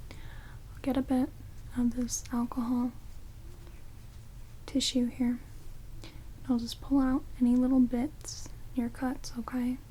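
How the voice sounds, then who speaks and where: Woman playing a slow, whispering, sarcastic vampire woman. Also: fairly high pitch, low-quality recording. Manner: soft-spoken whispering, dry, snarky, casual. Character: rude, sarcastic female vampire grudgingly tending wounds. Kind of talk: whispered ASMR roleplay. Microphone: close binaural microphones. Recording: hissy rain noise on binaural mics.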